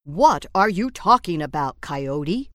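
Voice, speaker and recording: A woman's voice: low voice, big pitch swings, takes it slowly, a bit bright, dry recording, clean studio sound, voice pushed down, heavily acted. A woman voicing a male wolf who leads the animals in a told story, firm and calling out. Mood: questioning.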